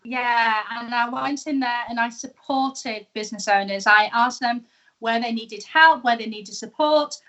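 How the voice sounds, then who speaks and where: A woman's voice: a little high, unhurried, a bit bright, rough recording. A woman from the UK with a British accent, a guest telling her story in a casual, upbeat interview over a video call. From United Kingdom. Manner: chatty and upbeat, laughs easily. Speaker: guest, entrepreneur. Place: live video show.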